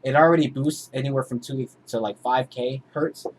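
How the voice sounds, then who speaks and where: A man's voice: medium pitch, fairly even intonation, normal speed. Man casually teaching, noisy background. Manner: informal, explains step by step. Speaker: music producer teaching vocal mixing. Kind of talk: casual tutorial explanation.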